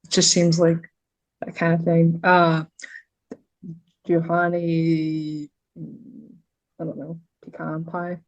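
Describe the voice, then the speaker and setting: A woman's voice: sits low, monotone, takes it slowly, long pauses, dull audio. A woman voice actor chatting casually as a podcast guest in a playful, informal way. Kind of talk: casual chat.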